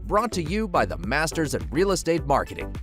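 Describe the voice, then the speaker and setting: A man announces a podcast intro in a punchy, salesy promo read. His pitch sits a little high and swings widely. A clear music bed plays under his voice. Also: wildly swooping pitch, a little fast. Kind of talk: hyped promo voice-over.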